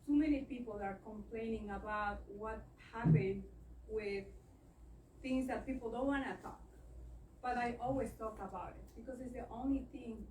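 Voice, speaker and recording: A woman's voice: on the high side, darkish tone, a little hiss or hum. Earnest woman speaking in an echoey hall. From Ecuador. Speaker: guest speaker. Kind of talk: public speech at a meeting. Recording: echoey room, mic at a distance.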